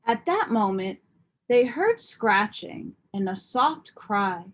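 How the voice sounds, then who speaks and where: A woman reading a children's storybook aloud in expressive narration. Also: on the high side, slow. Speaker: storybook reader.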